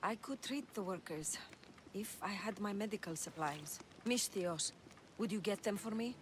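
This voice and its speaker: A woman playing a shrewd, composed healer in a video game, with a measured, assured manner. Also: mid-range voice, slight background noise, close to an everyday voice. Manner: assured, measured, matter-of-fact. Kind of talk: video game cutscene acting.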